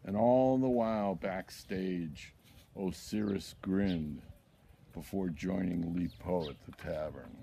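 An older man reading his own poetry aloud in a deliberate, declamatory way. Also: sits low, vocal fry, dark tone. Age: older, 60s or 70s. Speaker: poet reading own work. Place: outdoors in a backyard. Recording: outdoor ambience with birds, background noise.

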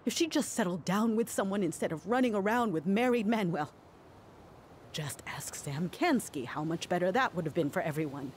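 An actress playing a guilt-ridden, judgmental middle-aged townswoman in a video game drama, with a halting, defensive manner. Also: fairly low pitch, animated, slight background noise, lightly acted. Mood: bitter, indignant.

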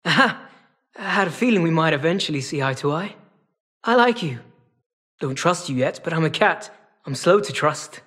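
A sly feline rogue from a video game. The voice sits fairly high for a male and has a husky rasp. He talks in a wry, casual, streetwise drawl, like a weary hustler who is always bargaining. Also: expressive, moderate pace, long pauses, fairly bright tone. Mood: sly, amused. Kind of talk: video game character acting.

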